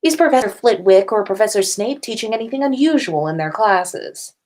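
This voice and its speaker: A woman voicing a brisk, puzzled school nurse witch in a story reading. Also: mid-range voice, expressive, darkish tone, voice pushed up, close to an everyday voice.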